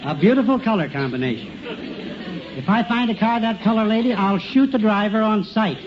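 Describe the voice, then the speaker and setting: An actor playing a flustered, sarcastic police sergeant in a 1950s radio comedy. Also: male voice, sits high, animated, a little fast. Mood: exasperated, sarcastic. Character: exasperated, sarcastic male police sergeant taking a report. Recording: dull, noisy old radio recording, studio audience.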